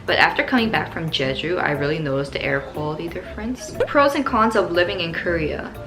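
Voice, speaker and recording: Chatty young Canadian woman, lowish voice. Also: animated, a little fast, music in the background. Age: young adult. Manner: upbeat, chatty, many "like" fillers. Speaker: vlogger answering viewer questions. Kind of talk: casual Q&A vlog.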